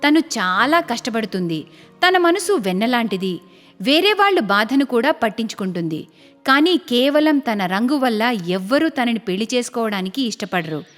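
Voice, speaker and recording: A woman narrating a scripted cartoon storybook tale. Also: sits high. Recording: long reverb, music behind. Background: background music.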